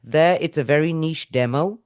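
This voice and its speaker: A woman's voice: low-pitched, moderate pace, darkish tone, smooth. A middle-aged woman from India hosting a podcast interview, talking effusively in a rambling way with frequent restarts. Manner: effusive, rambling, with self-corrections. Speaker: podcast host. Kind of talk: casual interview chat.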